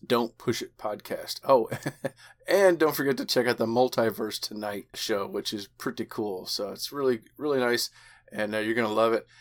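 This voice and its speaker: A man hosting a podcast in a chatty, enthusiastic way. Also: mid-range voice. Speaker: podcast host.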